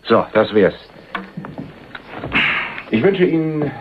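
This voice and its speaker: An actor playing a shrewd, wealthy, condescending power broker in a German crime radio play, speaking in a suave, measured way. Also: male voice, medium pitch, big pitch swings, noisy background. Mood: hard bargaining. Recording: muffled 1980s radio drama recording.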